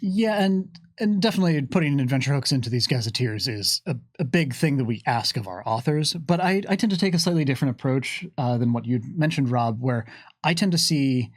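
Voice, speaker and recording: A man's voice: medium pitch, lively intonation, brisk, no room sound. Man hosting an unscripted Q&A, dry sound. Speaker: host of a livestream Q&A. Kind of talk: unscripted lore discussion.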